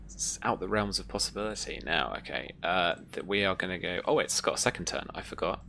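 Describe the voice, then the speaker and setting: A woman's voice: a bass voice, expressive, a little fast, vocal fry, fairly bright tone, a little hiss or hum. A woman running a tabletop roleplaying game as game master, informal and encouraging toward her players.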